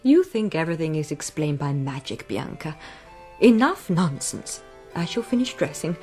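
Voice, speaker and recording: Bright, self-possessed young noblewoman. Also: low-pitched, sing-song, moderate pace, breathy edge, soft background music, full character voice. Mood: brisk, firm. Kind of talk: radio drama acting.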